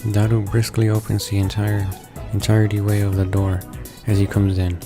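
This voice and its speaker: A man reads game narration aloud in a low, unhurried voice that stumbles and restarts now and then. His delivery swings up and down only modestly, with music clearly playing behind him. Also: monotone. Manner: halting reading with stumbles and self-corrections. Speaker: visual novel let's player reading along. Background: visual novel background music.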